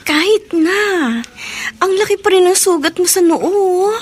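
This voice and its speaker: A woman's voice: extremely high pitch, measured pace. An actress playing a young woman wronged in love, with tearful, pleading outbursts in a radio drama. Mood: worried.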